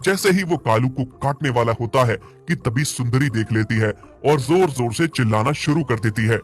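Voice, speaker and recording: A man's voice: mid-range voice, lively intonation, normal speed. A man narrating a scripted animal fable for a cartoon moral story. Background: music.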